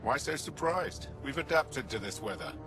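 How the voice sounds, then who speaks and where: An actor playing a matter-of-fact alien lookout soldier in a video game, with a blunt, dry manner. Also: male voice, mid-range voice, lively intonation, normal speed, music in the background, lightly acted. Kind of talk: dramatic game dialogue. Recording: clear noisy outdoor ambience behind.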